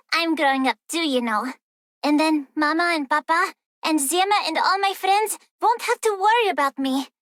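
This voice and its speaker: Very high, sweet young girl. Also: expressive, a little slow, a bit bright, dry recording, clean studio sound, full character voice. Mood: sweet, proud. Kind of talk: game character voice line.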